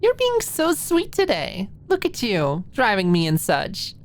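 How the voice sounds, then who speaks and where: Woman voicing a sweet girlish young woman, pitched up. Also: wildly swooping pitch, a little slow. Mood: teasing.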